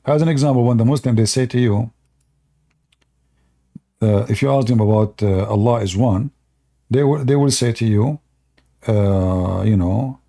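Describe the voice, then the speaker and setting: Gravelly Arabic-accented man explaining. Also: fairly low pitch, moderate pace, long pauses, dark tone. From Middle East. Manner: unscripted explaining, with fillers and repetition. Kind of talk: live stream talk.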